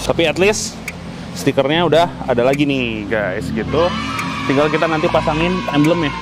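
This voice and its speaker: A man's voice: on the high side, lively intonation, moderate pace. A young man chatting casually to viewers while showing a scooter in a workshop. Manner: chatty, slangy, addresses viewers as guys. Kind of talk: casual walkaround vlog. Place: large indoor car workshop. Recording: loud background music over workshop.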